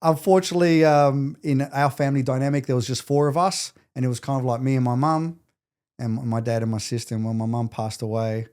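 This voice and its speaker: A man's voice: medium pitch, flat delivery, brisk, a little mellow, smooth. An Australian man in his late forties chatting casually as an interview guest, candid and jokingly self-deprecating. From Adelaide, Australia. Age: late 40s. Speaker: podcast guest.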